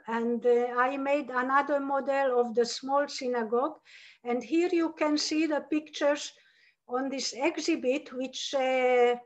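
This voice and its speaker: An older woman talks slowly and haltingly, her voice high for her age group. The video-call audio is dull and lo-fi. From Slovakia, Hungarian-speaking border town. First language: Hungarian. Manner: halting, many fillers and restarts. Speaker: interviewee, artist and Holocaust survivor's daughter. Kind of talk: video-call interview, showing slides.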